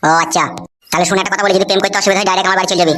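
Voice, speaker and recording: Man voicing a fast, coarse village busybody. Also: sits high, little pitch movement, sharp tone, slight background noise. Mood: chummy, reassuring. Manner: coarse, slangy, familiar. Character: nosy, blunt, chummy village newcomer, married man. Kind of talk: comic cartoon dialogue.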